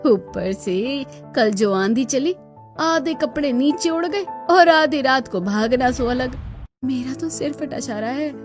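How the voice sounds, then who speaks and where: Woman acting young daughter-in-law, cartoon, high pitch, fed-up, grumbling, gossipy, faint music. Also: animated, slow. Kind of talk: Hindi cartoon dialogue.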